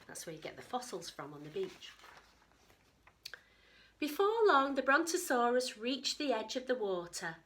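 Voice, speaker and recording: A woman reads a story aloud to young children in a bright voice. Her pitch moves a lot and her delivery is expressive. She chats to her listeners in asides, like a teacher at storytime. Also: normal speed, long pauses.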